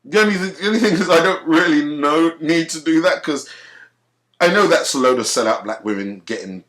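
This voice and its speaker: A man from Africa who speaks with a British accent, delivering a heated, profane rant straight to camera. His pitch swings widely, up and down, as he presses each point. He repeats words and restarts sentences as he pushes on. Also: animated, brisk, a bit bright. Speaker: video commentator. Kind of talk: ranting commentary to camera.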